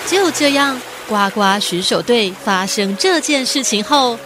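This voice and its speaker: A woman's voice: fairly high pitch, expressive, a little slow, noisy background. A woman narrating a scripted children's radio drama as a storyteller.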